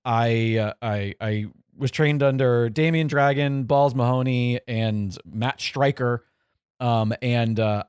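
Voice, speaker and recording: A man's voice: mid-range voice, smooth, dry recording, studio quality. A man in his forties, possibly, co-hosting a podcast in casual chat, rambling and self-correcting with a joking tone. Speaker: podcast co-host.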